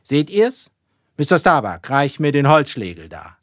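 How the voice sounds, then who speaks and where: A man's voice: fairly high pitch, sing-song, measured pace, dry recording, studio quality, voice pushed up. Man acting a roaring, fanatical whaling captain. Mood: commanding. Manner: loud, declamatory, exclaiming. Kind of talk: dramatic audiobook character reading.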